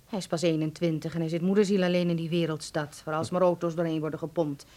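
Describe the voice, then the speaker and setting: A woman's voice: low voice, level tone, fast talker, mellow, close to an everyday voice. An actress playing an anxious, doting middle-aged mother, worried and tender, in a radio drama.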